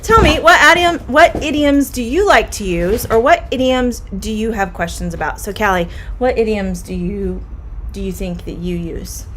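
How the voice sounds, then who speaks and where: A middle-aged woman with a Midwestern American accent, teaching English idioms on a live stream, friendly and repeating phrases slowly. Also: mid-range voice, a bit bright, slight background noise.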